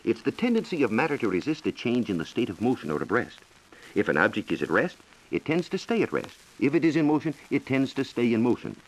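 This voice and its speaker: An American man explaining science in a measured, lecturing way, as a reporter in a scripted 1940s educational radio dialogue. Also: mid-range voice, sing-song, poor audio. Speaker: science reporter and guest expert.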